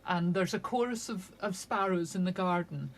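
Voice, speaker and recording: A woman's voice: lowish voice, normal speed, slight background noise. An older woman from Shetland, a crime novelist being interviewed, chatting in a wry, storytelling way. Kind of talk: casual interview chat.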